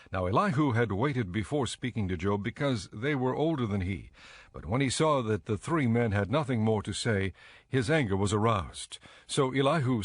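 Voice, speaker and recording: Low, dry-sounding male Bible narrator. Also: no room sound. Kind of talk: scripted narration, dramatized audio Bible.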